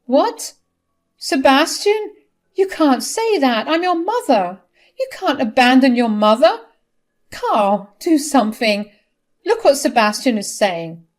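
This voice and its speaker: A woman's voice: medium pitch, normal speed, hardly any pauses, natural voice. A woman voicing a manipulative, desperate older mother who pleads and protests dramatically in a story. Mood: shocked protest.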